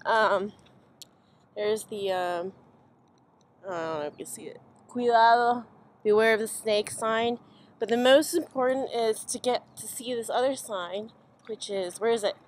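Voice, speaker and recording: A woman's voice: a little high, a little slow, pauses often. A young woman chatting casually and informally in a rambling travel vlog, recorded on a camera held at arm's length. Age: young adult. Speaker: vlog host, filmmaker. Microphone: built-in camera mic at arm's length. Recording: noisy handheld amateur recording.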